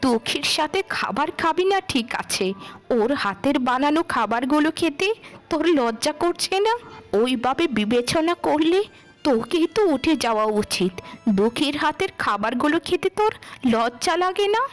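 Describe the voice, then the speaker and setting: A woman's voice: sits high, lively intonation, normal speed, soft background music. A woman playing a reproachful, exasperated village mother in a children's cartoon. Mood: exasperated scolding. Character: scolding village mother of two quarrelling daughters.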